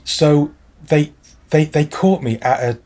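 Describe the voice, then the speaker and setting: A man's voice: a little high, slow pace, darkish tone. A man, talking as a podcast guest in a thoughtful, articulate, wry way. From South Wales. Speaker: guest music journalist. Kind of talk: casual podcast conversation.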